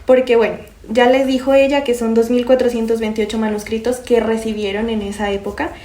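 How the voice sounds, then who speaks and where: Excited Colombian woman, mellow echoey voice. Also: a little high. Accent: Colombian (Pasto). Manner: excited, chatty, fillers like "o sea", "pues". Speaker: podcast co-host. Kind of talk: casual book-discussion podcast.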